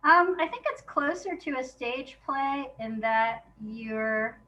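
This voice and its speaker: A woman speaking as an interview guest, a playwright chatting casually about her work and telling it in an animated way, acting out remembered exchanges. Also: on the high side, dull audio.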